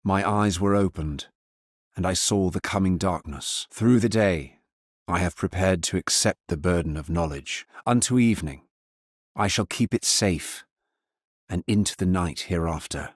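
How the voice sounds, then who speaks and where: An actor playing a grim, resolute necromancer in a dark fantasy video game, solemn and deliberate. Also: male voice, low voice, dry recording, clean studio sound. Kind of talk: dramatic video game character lines.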